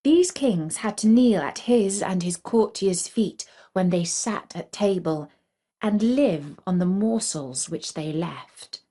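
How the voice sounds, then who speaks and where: A woman reading a scripted fairy tale for children in a measured, deliberate storybook manner. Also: mid-range voice, lively intonation, dark tone.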